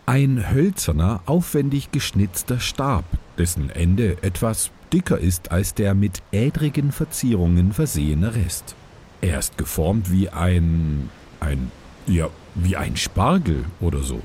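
Deep, mellow man narrating. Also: big pitch swings, unhurried, slight background noise. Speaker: game narrator. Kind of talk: improvised descriptive narration.

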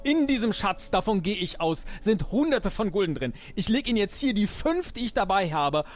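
A man playing an eager, brash would-be pirate in an improvised audio drama, chatty, excitable and fumbling. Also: high voice, moderate pace. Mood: eager bargaining.

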